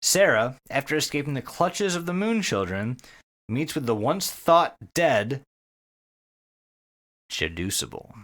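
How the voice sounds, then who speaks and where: A man's voice: mid-range voice, big pitch swings, measured pace, pauses often, a bit bright. A man hosting a podcast, recapping a story casually and enthusiastically, swearing freely and repeating words. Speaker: podcast host.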